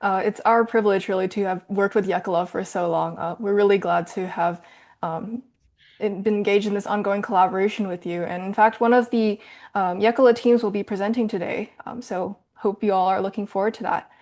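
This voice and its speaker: A woman's voice: medium pitch, steady tone, brisk, darkish tone. Polite woman moderating a panel. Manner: polite, appreciative.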